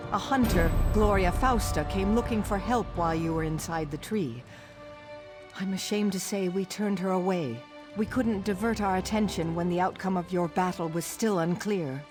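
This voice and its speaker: An actress voices a worldly woman of a forest sisterhood in a fantasy game. She speaks earnestly, in measured, formal phrases at a middle pitch. Music plays clearly behind her. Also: close to an everyday voice. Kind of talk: fantasy video game dialogue.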